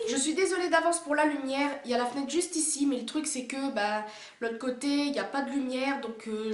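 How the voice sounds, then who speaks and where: A woman's voice: high-pitched, echoey room. A young woman vlogger with a cold, chatting casually and gushing, with lots of fillers. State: has a cold. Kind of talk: casual chat showing a collection. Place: indoor room by a window.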